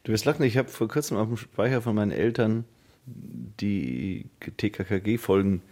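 A man with a lowish voice and a lot of vocal fry talks casually in an interview. He is reflective and colloquial, thinking his answers through as he goes. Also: normal speed, mellow. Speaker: interviewed fan.

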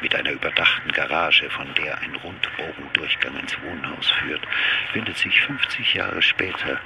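An actor playing a measured, descriptive narrator in a radio drama, with a gravelly voice. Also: male voice, rumbling low, heavy background noise. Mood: matter-of-fact.

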